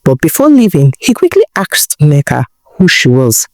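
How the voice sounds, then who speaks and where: A woman with a Nigerian accent narrating a folktale in a deliberate way, repeating phrases for emphasis. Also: low-pitched, sing-song, darkish tone, no room sound. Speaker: storyteller, narrator.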